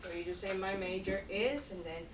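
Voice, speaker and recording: A woman teaching English to a class, speaking slowly and patiently and repeating phrases for learners. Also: medium pitch. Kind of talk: classroom instruction. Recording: echoey room, far mic, noisy.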